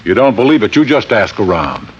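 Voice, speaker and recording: An actor playing a middle-aged, wealthy father, gruff and defensive, brusque and insistent, in an old-time radio drama. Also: lowish voice, very animated, a little fast. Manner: brusque, insistent, plain-spoken. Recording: dull, faintly noisy vintage radio recording.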